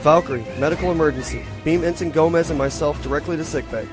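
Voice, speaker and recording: A man plays a formal, matter-of-fact starship officer in a science fiction audio drama. His voice sits a little high and sounds earnest. Music is clearly heard behind him. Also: fast talker, close to an everyday voice. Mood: formal, measured.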